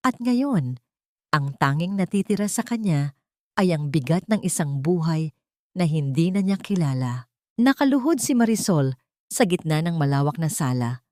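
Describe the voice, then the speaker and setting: A woman's voice: low voice, mellow, dry recording, clean studio sound. A woman narrating a scripted, dramatic story.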